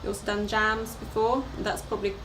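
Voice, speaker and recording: A woman who works as a farm educator, giving a relaxed interview with some fillers and restarts. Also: medium pitch, fast talker, over music. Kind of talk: casual interview. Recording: distant mic with background noise.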